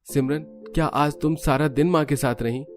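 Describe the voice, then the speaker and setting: A man's voice: a little high, steady tone, moderate pace, soft background music, pitched above the performer's own voice, natural voice. A man voicing a kind, protective young brother in a cartoon story, modest and reassuring. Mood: curious. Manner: reassuring, considerate. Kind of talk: Hindi cartoon story acting.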